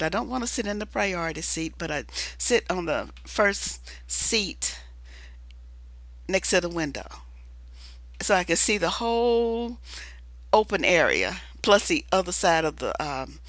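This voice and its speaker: A hoarse woman who is losing her voice talks in a casual, rambling monologue, restarting often and filling pauses with "uh" and "um". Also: on the low side, animated, a bit bright, no echo. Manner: rambling, restarts with many fillers. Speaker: video commentator.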